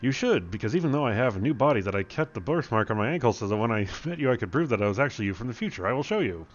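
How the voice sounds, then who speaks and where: A man's voice: mid-range voice, lively intonation, rapid-fire, dull audio, close to an everyday voice. A man voicing a smug, scheming boss villain in a comic story, pompous and long-winded. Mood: explaining.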